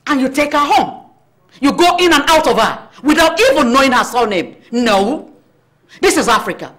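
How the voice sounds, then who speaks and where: An actress playing a domineering middle-aged mother, scolding and shouting at her grown son. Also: high voice, expressive, moderate pace. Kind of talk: melodrama acting.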